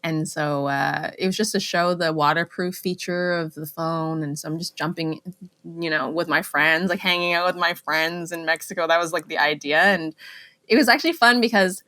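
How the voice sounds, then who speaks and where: A young actress from Canada, chatting as an interview guest, telling lively anecdotes with fillers and laughs. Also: female voice, sits low, moderate pace. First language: Cantonese. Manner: chatty storytelling, laughs often, many fillers. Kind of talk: casual interview chat.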